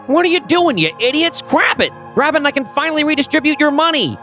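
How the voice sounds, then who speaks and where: A man's voice: extremely high pitch, expressive, fast talker, pushed and bright, faint music behind, heavily acted. A man playing a frantic, greedy schemer barking orders in a melodramatic audio play. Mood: frantic shouting.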